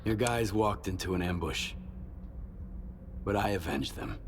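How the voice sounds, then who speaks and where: Deep-voiced laconic loner. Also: male voice, unhurried, noisy background. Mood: determined. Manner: laconic, matter-of-fact. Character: laconic, hardened male wasteland loner mercenary. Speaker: video game protagonist. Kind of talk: video game voice acting.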